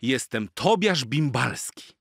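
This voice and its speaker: A man's voice: mid-range voice, very animated, takes it slowly, creaky, fairly bright tone, dry recording, studio quality, exaggerated voice. A man voicing a big, forgetful father elephant from a children's storybook, hearty, muddled and declamatory.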